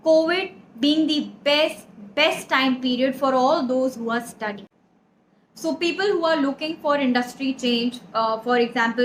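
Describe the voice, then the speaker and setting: High-pitched Indian woman, echoey room. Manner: earnest, explanatory, direct address. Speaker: career advice host. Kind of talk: advisory talk to camera. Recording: echoey room, audible background noise.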